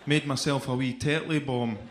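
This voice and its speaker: A man's voice: medium pitch, steady tone, normal speed, roomy sound, background noise. A man with an Edinburgh Scottish accent doing a chatty stand-up set, often tagging lines with "right?". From Scotland. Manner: chatty, keeps adding "right?". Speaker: stand-up comedian. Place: comedy club stage.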